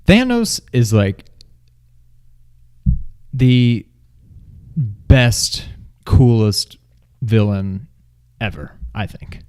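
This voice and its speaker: A man's voice: mid-range voice, very animated, drawn-out words, long pauses, a little mellow, smooth. A man co-hosting a movie review in loose, casual chat, often hesitating as he thinks aloud.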